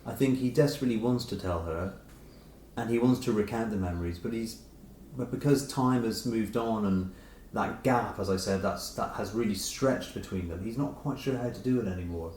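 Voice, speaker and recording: A man's voice: lowish voice, normal speed, a little mellow. A middle-aged man, an author being interviewed, talking reflectively and tentatively as he rephrases his thoughts. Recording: roomy echo with clear background noise.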